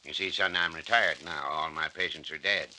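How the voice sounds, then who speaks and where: An actor playing a kindly, folksy old retired country doctor, rambling and nostalgic in an old-time radio drama. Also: male voice, a bass voice, normal speed, sharp tone, exaggerated voice, low-quality recording. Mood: folksy reminiscing. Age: older. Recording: hissy vintage radio recording.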